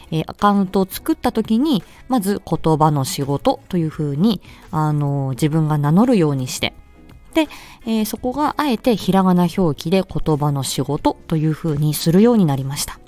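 Polite, mellow woman with lively intonation. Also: fairly low pitch. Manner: polite, explanatory. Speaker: streamer and speech-language therapist. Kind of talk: casual solo chat answering a listener's letter.

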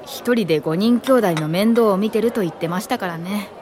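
An actress playing a polite, devoted young female assistant in a radio drama, speaking sincerely and with measured care. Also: mid-range voice, a little mellow, natural voice. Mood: concerned. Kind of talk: radio drama acting with reflective narration. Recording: studio radio drama over clear music.

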